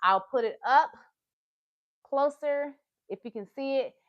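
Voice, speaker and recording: A woman hosting a children's storytime livestream, chatting to small children and parents in an animated, encouraging, sing-song manner. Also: high-pitched, unhurried, no echo, lo-fi sound.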